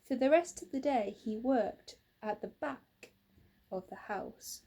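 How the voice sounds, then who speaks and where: Unhurried woman reading a children's story. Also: fairly high pitch, creaky, mellow, a little hiss or hum. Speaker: storybook reader. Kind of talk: scripted children's story narration.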